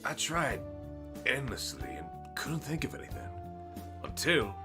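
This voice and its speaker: An actor playing an older, gruff but helpful blacksmith in an audio roleplay, with halting storytelling. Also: male voice, mid-range voice, huge pitch swings, music in the background. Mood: earnest, explaining.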